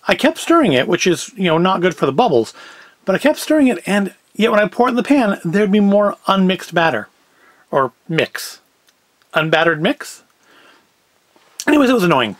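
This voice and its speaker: A man's voice: sits high, big pitch swings, moderate pace, no echo. A man, chatting casually through a food review in a wry, self-deprecating, rambling way. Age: 40s. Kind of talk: casual review chat to camera.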